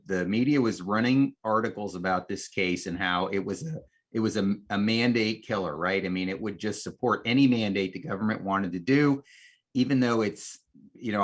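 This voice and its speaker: Low-voiced man explaining things informally. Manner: conversational, hesitant repetitions with tag questions. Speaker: guest legal commentator. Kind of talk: informal explanatory interview talk.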